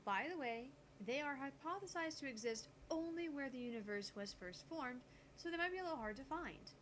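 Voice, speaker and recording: A woman voicing a calm, gutsy teenage genius girl who lectures on physics in a visual novel. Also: high-pitched, a little fast, a little hiss or hum. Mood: explanatory. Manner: explanatory, lecturing.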